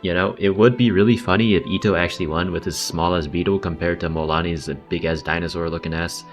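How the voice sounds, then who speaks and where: A woman's voice: a bass voice, steady tone, fast. A woman giving casual, slangy, joking livestream commentary over a game, with some swearing. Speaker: game streamer. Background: faint game music.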